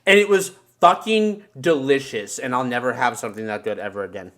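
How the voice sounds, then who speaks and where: A man from the United States telling casual podcast stories in an animated way, with plenty of swearing and bro slang. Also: mid-range voice, brisk. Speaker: podcast co-host. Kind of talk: casual podcast chat and storytelling.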